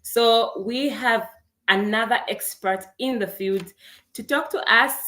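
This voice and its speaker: A woman's voice: fairly high pitch, takes it slowly, bright, forceful tone. A young woman from Zambia hosting an online career event on a video call, upbeat and welcoming as she greets attendees by name. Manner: upbeat, welcoming, reads chat names aloud. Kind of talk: live webinar hosting.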